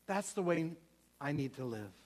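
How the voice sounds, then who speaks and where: A man with a notably high voice delivers a sermon with strong emphasis. He repeats his key phrases and puts questions to his listeners. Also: big pitch swings, moderate pace. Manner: emphatic, repetitive, asks the congregation questions. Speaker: preacher.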